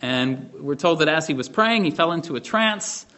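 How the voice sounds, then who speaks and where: Casual Bible teacher, fairly high voice. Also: male voice, expressive, moderate pace, pushed and bright. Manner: conversational explaining, frequent "right?" and restarts. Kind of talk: Bible study lesson.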